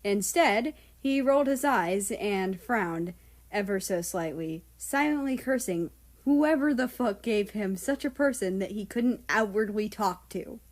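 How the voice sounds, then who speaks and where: Female story narrator: very unhurried pace, mid-pitched voice, expressive scripted reading. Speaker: audiobook narrator.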